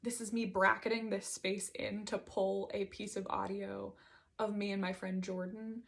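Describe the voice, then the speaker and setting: A woman's voice: medium pitch, little pitch movement, normal speed, vocal fry, a little hiss or hum. A woman giving a reflective solo monologue, hesitant and digressive as she thinks aloud about her writing. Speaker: host reading and discussing own writing.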